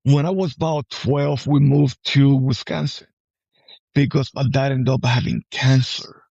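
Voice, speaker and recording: Halting man in a dull, dry recording. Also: mid-range voice, fairly even intonation, gravelly, a little mellow, no echo. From Puerto Rico. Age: 40s. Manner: halting, repeats words, often checks with "right?". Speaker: podcast guest, army veteran. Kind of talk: casual personal conversation.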